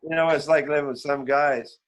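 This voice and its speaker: A Canadian man in his seventies telling rambling, animated stories in a casual, reminiscing way as an interview guest. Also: a little high, fairly quick, a little hiss or hum. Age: 70s. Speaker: veteran musician, interview guest. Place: remote online call.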